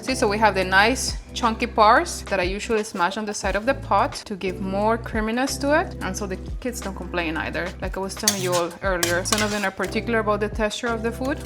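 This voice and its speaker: Chatty Colombian woman hosting a cooking video. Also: medium pitch. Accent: Colombian Spanish. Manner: warm, chatty, guiding viewers step by step. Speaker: cooking channel host. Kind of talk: cooking vlog demonstration. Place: home kitchen.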